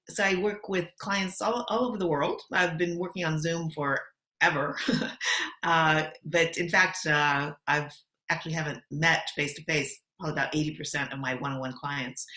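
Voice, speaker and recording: A middle-aged American woman from Los Angeles, answering interview questions in an articulate, measured way. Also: on the low side, bright, forceful tone. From Los Angeles, USA. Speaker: guest. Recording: roomy echo.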